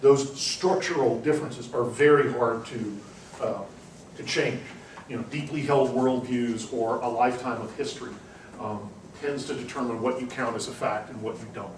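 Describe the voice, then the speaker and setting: Professor type lecturing to a seminar class, mid-pitched, pauses and restarts, recorded from the back of an echoey room. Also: male voice, recorded from a distance, a little hiss or hum. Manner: discursive, with frequent ums and restarts. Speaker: university lecturer. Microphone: far room recording.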